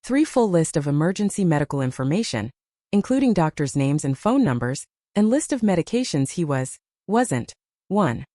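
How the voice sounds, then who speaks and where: A woman's voice: deep voice, sing-song, normal speed, dark tone, dry recording, studio quality. A woman narrating a reddit story aloud, reading out a long numbered list and lifting her voice on each number.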